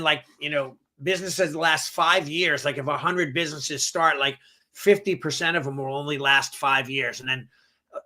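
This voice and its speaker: Podcast guest: man, New York accent, a touch hoarse, very bright and a little high, energetic and chatty. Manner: energetic, rambling, self-correcting. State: slightly hoarse. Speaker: martial arts school owner, guest. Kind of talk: casual podcast interview.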